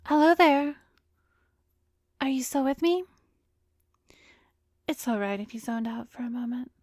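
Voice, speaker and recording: A woman playing a gentle, reassuring therapist in an ASMR roleplay, speaking softly with a soothing, polite, encouraging manner. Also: high-pitched, lively intonation, measured pace, no echo, clean studio sound. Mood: soothing, pleased. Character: gentle, reassuring female therapist hiding sly intentions. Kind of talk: ASMR roleplay audio drama.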